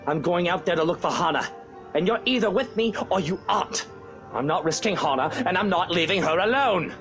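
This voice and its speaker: A man's voice: high voice, animated, brisk, fairly bright tone, soft background music. A man voicing a brash, stubborn young master in a visual novel, curt, agitated and defiant. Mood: worried, defiant. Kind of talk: visual novel character acting.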